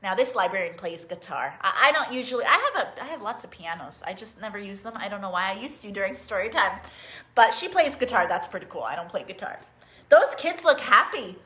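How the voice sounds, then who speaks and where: A woman hosting a live online story time, talking directly to young children in a sing-song, animated manner as a librarian who reads and teaches songs. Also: medium pitch, a little fast. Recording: roomy, echoing sound.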